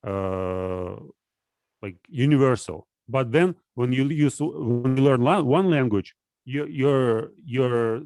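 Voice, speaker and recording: A man talks through an idea in casual conversation, stopping and restarting often as he searches for words. His voice sits at an ordinary middle pitch. The sound is close and dry, with no room echo. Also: darkish tone. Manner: halting, full of restarts and fillers while explaining.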